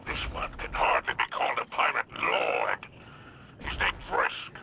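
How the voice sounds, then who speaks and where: An actor playing a sly, menacing alien space pirate crime lord in a video game, with a growling, gravelly voice and theatrical drawl. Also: male voice, measured pace, very noisy, close to an everyday voice. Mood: sly, confident. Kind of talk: video game character dialogue. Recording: loud game ambience behind voice.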